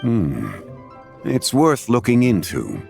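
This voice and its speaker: An actor playing a calm, courteous, scholarly gentleman in a video game, speaking formally and with measured care. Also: medium pitch, vocal fry, full character voice. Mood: thoughtful. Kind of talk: video game character acting. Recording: music behind the voice.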